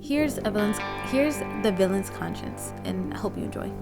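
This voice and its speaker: Young female radio host, medium pitch, conversational scripted narration, playful, faint music bed. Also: lively intonation, normal speed, gravelly, poor audio. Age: young adult. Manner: chatty, playful, addresses listener.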